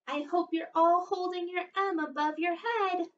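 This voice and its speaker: A woman leading story time and a lesson for young children, encouraging and exaggerated, pausing as if for their answers. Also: very high-pitched, fairly even intonation. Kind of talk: read-aloud and interactive lesson for young children. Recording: dull, distant microphone sound.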